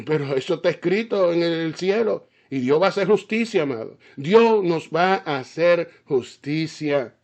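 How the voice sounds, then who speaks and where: A man preaching a fiery sermon, often stopping to ask whether his listeners understand, with stammered restarts and repeated words. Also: fairly high pitch. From Caribbean island. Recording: slightly dull, lo-fi sound.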